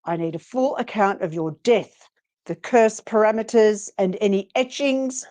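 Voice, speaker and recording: A woman's voice: medium pitch, lively intonation, normal speed, mellow, close to an everyday voice. A woman playing a sassy, bossy psychic ghost investigator in a live dramatic reading of a paranormal romance, with sardonic delivery. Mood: sardonic, brisk.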